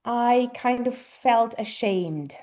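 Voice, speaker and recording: A German-born woman in her thirties, a native German speaker, answering interview questions thoughtfully with frequent pauses and ums. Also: on the high side, expressive, a little mellow. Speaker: guest mother. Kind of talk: casual interview conversation.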